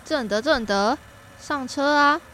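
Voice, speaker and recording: A woman's voice: high-pitched, unhurried, pushed and bright, a little hiss or hum. A woman acting an insistent aunt in a radio drama, with a Taiwanese Mandarin accent. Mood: urging. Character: insistent aunt urging her nephew along.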